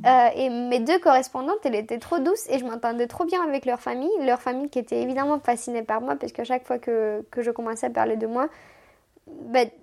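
A woman's voice: on the high side, fairly quick, creaky, darkish tone, soft background music. A woman in her twenties from Moldova, a native Romanian speaker, telling her life story casually as a podcast guest, chatty and self-mocking, restarting sentences often. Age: 20s.

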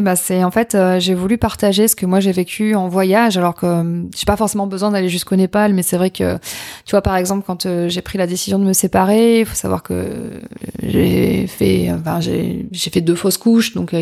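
A middle-aged woman, telling personal stories in an informal, expressive, candid way as an interview guest. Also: fairly low pitch, moderate pace, creaky. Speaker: podcast guest, coach. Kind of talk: personal storytelling in a conversational interview.

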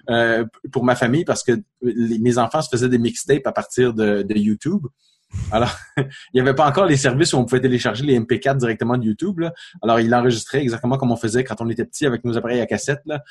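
A man's voice: medium pitch, darkish tone, slightly rough. A middle-aged man with a Quebec French accent, chatting casually as a podcast guest, hesitant, with many fillers and frequent chuckles. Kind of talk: casual interview chat.

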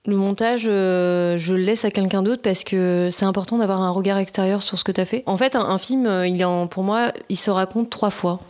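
A young woman from the south of France chatting in an interview, with many pauses and restarts. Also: medium pitch, steady tone, darkish tone. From Nice, southern France. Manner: chatty, lots of hesitations and restarts. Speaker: screenwriter and director. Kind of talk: casual interview talk.

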